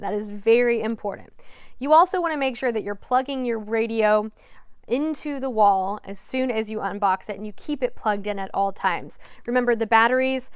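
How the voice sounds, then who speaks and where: A young woman with a very smooth, clean voice pitched a little high walks through a device demonstration. She explains each step plainly, in the instructional way of a TV weather presenter. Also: dry recording, studio quality. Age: young adult. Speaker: TV meteorologist. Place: TV weather studio.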